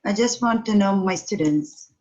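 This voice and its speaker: A woman's voice: medium pitch, moderate pace, darkish tone. A middle-aged woman teaching an online English class over a phone video call, patiently questioning her students. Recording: dull lo-fi call audio.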